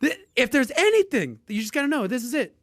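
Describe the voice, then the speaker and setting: A man hosting a casual podcast and explaining investing in an emphatic, animated way, with sudden loud bursts. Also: extremely high pitch, fast, gravelly, no echo, clean studio sound. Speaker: podcast host.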